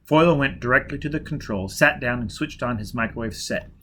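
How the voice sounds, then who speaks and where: A man narrating a novel with an expressive, dramatic delivery. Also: medium pitch, a little fast, a little hiss or hum. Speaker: audiobook reader.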